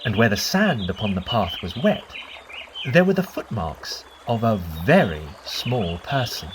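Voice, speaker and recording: A woman's voice: rumbling low, big pitch swings, noisy background. A woman storyteller narrating a children's bedtime tale expressively, drawing out repeated words for dramatic effect. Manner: deliberate, dramatic, drawn-out repetitions.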